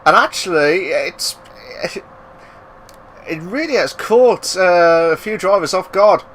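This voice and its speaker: Excitable high-voiced male race commentator. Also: slow, a little hiss or hum. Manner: excitable, bursts into loud exclamations. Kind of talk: live esports racing commentary.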